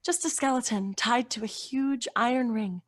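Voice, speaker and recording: A woman playing a gentle, earnest teenage girl in an old-time radio drama, soft and sincere. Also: fairly high pitch, moderate pace, natural voice. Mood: solemn, tender.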